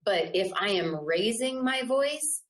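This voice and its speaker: A middle-aged woman from the American South presenting a live webinar, loud and enthusiastic, talking in a conversational way and often checking in with "right?". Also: mid-range voice, lively intonation, slow. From Southern United States. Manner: loud voice that carries.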